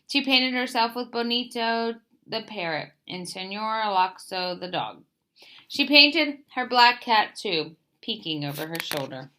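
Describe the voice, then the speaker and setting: A woman's voice: fairly high pitch, expressive, a little slow, sharp tone. A woman reads a children's picture book aloud as a storyteller, expressively, lifting the openings of phrases and settling at sentence ends.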